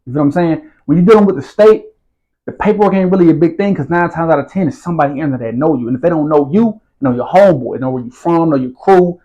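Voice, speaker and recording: Interview guest: man, slightly high voice, relaxed streetwise delivery, keeps checking in with the listener. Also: quick pace, highs cut off. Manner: streetwise slang, repeats "you feel what I'm saying". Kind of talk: casual storytelling interview.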